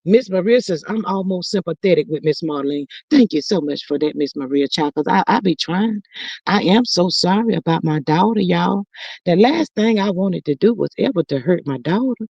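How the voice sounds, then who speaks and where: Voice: mid-range voice, lively intonation, moderate pace, creaky, a little mellow, breathy edge, dry recording, muffled recording. A performer voicing an older mother who stammers with fillers and calls everyone honey and child while chatting over viewer comments on a livestream. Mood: gossipy chatty. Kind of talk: improvised comedic character livestream.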